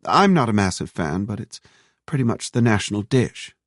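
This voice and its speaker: A man's voice: fairly low pitch, sing-song, normal speed, dry recording, voice pushed up. A man voicing a practical young woman, speaking in a dry, firm and teasing way in an audiobook thriller.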